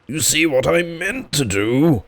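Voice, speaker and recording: A man voicing a good-natured, slow-witted teddy bear for a storybook, growly and pondering in delivery. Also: a little high, lively intonation, a bit bright, dry recording, voice pushed up. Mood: flustered. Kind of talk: audiobook character voice.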